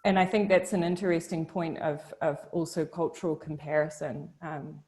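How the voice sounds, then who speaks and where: A woman's voice: deep voice, fairly even intonation, moderate pace, a little mellow. A young woman from New Zealand on a video call, talking in a thoughtful and hesitant way with many restarts and fillers. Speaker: discussion participant. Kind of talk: casual video-call discussion.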